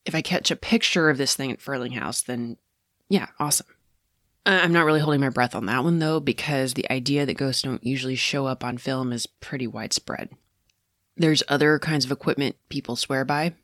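An actor plays a determined amateur ghost hunter in a naturalistic audio drama. The voice is mid-pitched and recorded very dry. The talk rambles and interrupts itself, wry and confiding. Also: fairly bright tone, dry recording, natural voice. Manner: conversational, wry, self-interrupting.

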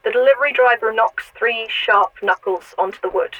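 Female actor as nosy machine in audio drama: high voice, sassy, insistent, chatty, set in very loud noise. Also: normal speed, dull audio, lightly acted. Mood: chatty, observant. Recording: very loud noise, radio-like clicks.

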